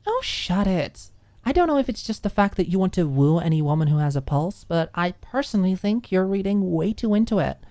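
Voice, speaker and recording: A woman's voice: fairly low pitch, very animated, a little fast, dark tone, no echo, clean studio sound. A woman voicing a sarcastic, slightly grumpy young college student in an audiobook. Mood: irritated.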